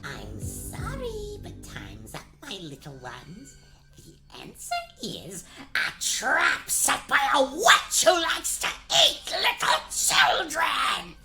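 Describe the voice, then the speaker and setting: A woman's voice: high-pitched, huge pitch swings, takes it slowly, music in the background, heavily acted. A woman playing a sly old witch who poses as a sweet little old lady, theatrical and gloating, in a comedic fairy-tale radio play. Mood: menacing.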